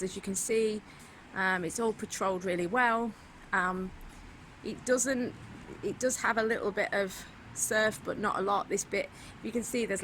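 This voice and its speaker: A woman's voice: medium pitch, sharp tone. Travel vlogger, British woman, casual and rambling with ums, recorded outdoors on a handheld camera with street noise. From United Kingdom. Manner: chatty, lots of ums, talks to camera. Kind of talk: casual walking tour vlog. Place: outdoors in a city, beach and streets.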